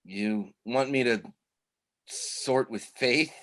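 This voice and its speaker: A man in a comedy play reading, playing a meek, literal-minded office intern, hesitant, deadpan and deferential. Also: medium pitch, extremely slow. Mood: meek, puzzled. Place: remote reading over a video call.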